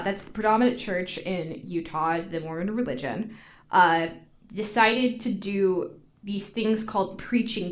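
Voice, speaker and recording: An American woman telling a story casually on a podcast, informal and giggly, peppering her talk with "like". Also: mid-range voice. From United States.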